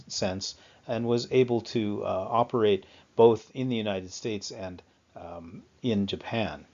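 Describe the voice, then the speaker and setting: A man giving an unscripted lecture on music history, often pausing with fillers and correcting himself. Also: mid-range voice, darkish tone. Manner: halting, frequent fillers and self-corrections. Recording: slightly dull lo-fi sound.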